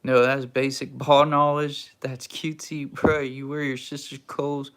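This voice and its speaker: A young man from Texas hosts a casual livestream, drawling out slow replies to his chat. His voice has a rough, creaky edge, and his mid-range tone stays easygoing and slangy as he teases viewers back. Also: mid-range voice, little pitch movement, a bit bright. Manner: laid-back, slangy, answers chat with teasing. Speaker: livestream host reading chat.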